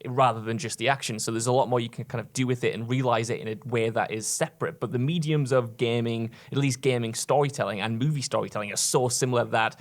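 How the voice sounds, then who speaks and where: A man's voice: medium pitch, fairly even intonation, quick pace, hardly any pauses. Man thinking through an argument aloud. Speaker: podcast panelist. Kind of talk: casual analytical discussion.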